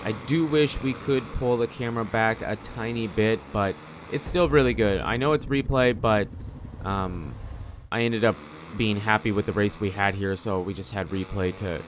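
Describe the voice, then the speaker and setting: A man with a fairly low voice commentates casually over gameplay. He talks in a loose, slangy, conversational ramble. There is noticeable noise behind his voice. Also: normal speed. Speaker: gaming video commentator.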